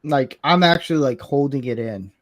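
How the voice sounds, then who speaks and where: A man's voice: medium pitch, normal speed. A man in his late thirties hosting a casual livestream chat, informal and bantering. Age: late 30s. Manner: informal, bantering, occasionally swearing. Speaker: livestream host.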